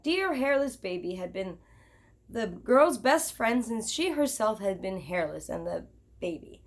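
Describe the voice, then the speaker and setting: A woman's voice: medium pitch, sing-song, unhurried. A woman teacher reading a children's picture book aloud expressively, with chatty asides to her listeners.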